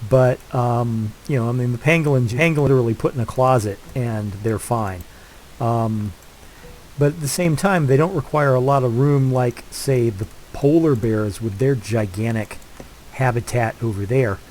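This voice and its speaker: An older man with a head cold narrates his gameplay in a mellow, congested voice. He rambles casually, circling back with fillers and restarts. A faint hiss sits under the recording. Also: mid-range voice, moderate pace, low-quality recording. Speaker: let's play host.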